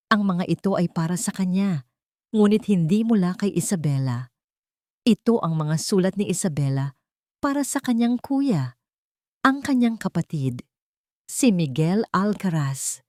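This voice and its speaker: A woman narrating a scripted audiobook drama in a steady, dramatic storytelling manner. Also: fairly low pitch, no echo, clean studio sound.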